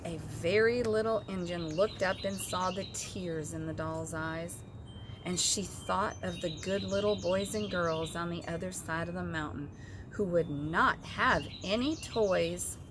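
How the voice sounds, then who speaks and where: A woman's voice: fairly low pitch, measured pace. A woman narrating a children's story expressively as a storybook reader. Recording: clear background noise with birdsong.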